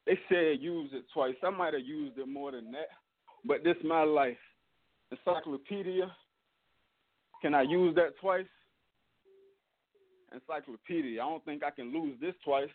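Brief: male rapper caller, slightly high voice, tinny dry phone line. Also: unhurried, long pauses, no echo. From Virginia. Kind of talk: freestyle rap over a call.

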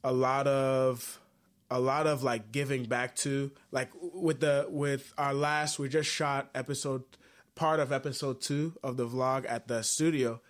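A man's voice: medium pitch, fairly even intonation, a little slow. A man from Haiti who is a musician, talking earnestly and reflectively in a casual interview, with many fillers and restarts. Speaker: musician guest.

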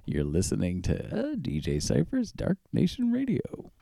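A man's voice: mid-range voice, huge pitch swings, normal speed, vocal fry, over loud music. A man hosting a radio show as DJ, introducing it in a casual, chatty and enthusiastic way.

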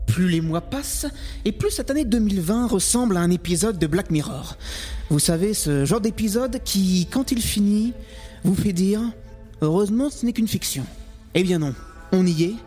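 A man's voice: high-pitched, animated, moderate pace, mellow, faint music behind. A man co-hosting a comic podcast, narrating its intro theatrically. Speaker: podcast co-host.

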